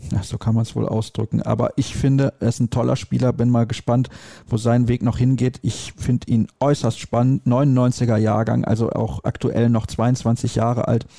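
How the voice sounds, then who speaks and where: A man's voice: medium pitch, little pitch movement, normal speed, creaky, warm tone, no room sound, studio quality. A man hosting a conversational sports discussion, stating his opinions and readily correcting himself. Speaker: sports talk host.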